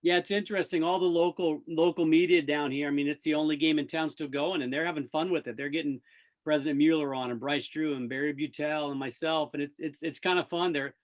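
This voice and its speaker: A man's voice: on the high side, steady tone, brisk. An American man, a radio play-by-play announcer, chatting casually and upbeat as a guest in a sports interview.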